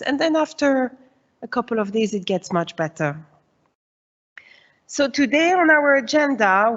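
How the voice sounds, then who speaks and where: A woman in her forties from Switzerland gives a presentation to parents as the head of her school's early years. Her voice sits mid-range, and she explains each point patiently with many "uh" and "um" pauses. The sound is slightly dull and muffled. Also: medium pitch. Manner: explanatory, reassuring, with frequent "uh" and "um".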